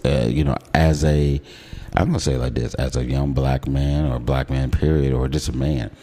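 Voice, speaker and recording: A man with an American accent and a deep voice, hosting a casual, opinionated radio talk show and taking care to enunciate. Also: warm tone. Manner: enunciates words carefully.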